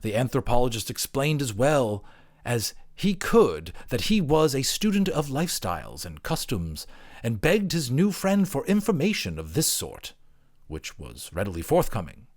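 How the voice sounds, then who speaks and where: Male audiobook reader, medium pitch, highly animated, theatrical storytelling delivery. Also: moderate pace. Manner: theatrical, expressive. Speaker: audiobook narrator. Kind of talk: dramatic storybook narration.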